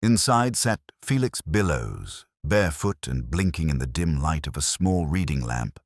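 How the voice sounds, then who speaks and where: A man's voice: extremely low pitch, sing-song, a little slow, dry recording, studio quality. A man reading a scripted mystery story as a narrator. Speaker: audiobook narrator.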